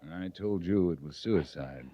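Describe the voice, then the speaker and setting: An American actor playing a stern, weary but compassionate cavalry captain in a 1950s radio western, terse and grave. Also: male voice, deep voice, moderate pace, mellow. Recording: muffled vintage radio recording.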